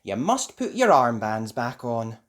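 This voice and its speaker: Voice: medium pitch, big pitch swings, measured pace. A storybook reader voicing an encouraging, caring mother of young children with a lilting, sing-song delivery. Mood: consoling.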